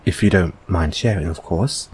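A man playing a gentle, reassuring boyfriend in an intimate comfort audio roleplay, speaking softly and hesitantly. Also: low voice, a little hiss or hum, lightly acted. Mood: gentle, playful. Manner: soft, hesitant, soothing, unhurried.

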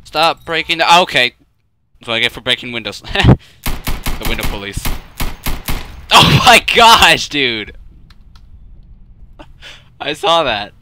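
A young man giving joking, excitable let's play commentary while playing a shooter game. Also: medium pitch, slow pace, long pauses, smooth. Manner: goofy, joking, excitable outbursts. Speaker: gaming YouTuber.

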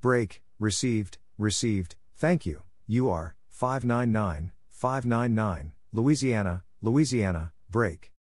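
A man's voice: on the low side, animated, slow, hardly any pauses, a little mellow, no echo, clean studio sound. A man reading scripted radio exchanges and call signs as a practice narrator, in a clipped, word-by-word delivery.

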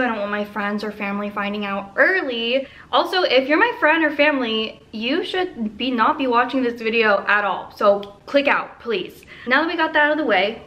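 A woman, chatting to camera in a gushing, excited, emphatic way, like a casual haul vlog filmed at home. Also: fairly high pitch. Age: 20s. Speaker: vlogger. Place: living room at home. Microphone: camera a short way in front of her.

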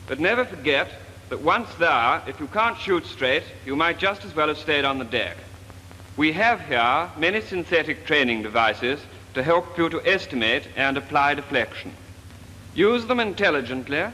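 A brisk wartime naval instructor addressing trainee pilots. His voice is fairly high and sharply forceful, with formal, measured authority. It rings in a reverberant room on an old, slightly noisy film soundtrack. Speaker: lecturer. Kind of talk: 1940s training film lecture.

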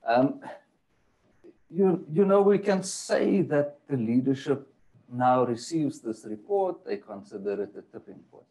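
A man moderates a panel, his pitch rising and falling in a lively way as he builds up long questions. He hesitates often and circles back as he searches for his words. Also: unhurried, low-quality recording.

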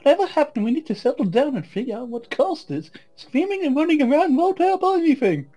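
A man putting on a high falsetto to voice a bossy woman scientist character while reading a visual novel aloud. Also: animated, brisk, warm tone, faint music behind. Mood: commanding. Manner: shrill falsetto when voicing the character.

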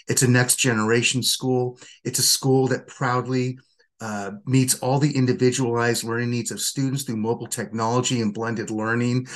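An older man, a school board member, giving an earnest, upbeat prepared address from a home office over a webcam. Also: mid-range voice.

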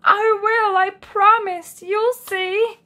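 A woman's voice: very high-pitched, level tone, takes it slowly, sharp tone, voice pushed up, heavily acted. A woman voicing a sheepish, apologetic male badger in a children's storybook, meek and eager to please. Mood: embarrassed, promising.

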